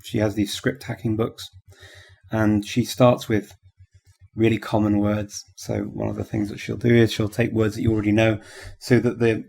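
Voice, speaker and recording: A man's voice: on the low side, level tone, normal speed, vocal fry, a little mellow. A male podcast host asking conversational interview questions and giving frequent short backchannels.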